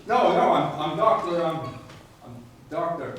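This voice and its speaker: A man's voice: fairly high pitch, lively intonation, unhurried, a bit bright. A man playing a nervous, earnest, bumbling young accomplice in a stage musical, hesitant and flustered. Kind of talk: live stage musical acting. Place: staged reading before a live audience. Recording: echoey hall, distant mic, faint noise.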